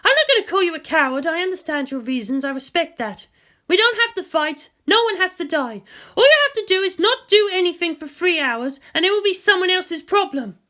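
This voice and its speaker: A woman's voice: very high-pitched, very animated, brisk, sharp tone, pitched above the performer's own voice, full character voice. A woman voicing a fierce, defiant female military commander in a dramatic story, intense and emphatic. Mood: defiant, tense.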